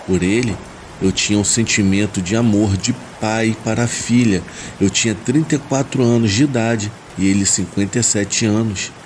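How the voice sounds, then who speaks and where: A Brazilian man narrates a supernatural tale in the first person, reading from a script. His voice sits on the low side, and a soft bed of rain runs behind it. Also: normal speed. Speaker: narrator of listener-submitted horror stories. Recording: steady rain noise behind the voice. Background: rain ambience.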